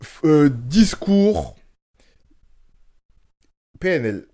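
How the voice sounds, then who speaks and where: A man's voice: fairly high pitch, sing-song, very slow, vocal fry, warm tone. A man hosting a casual interview, thinking aloud with frequent hesitations. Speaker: host, interviewer. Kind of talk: casual conversation.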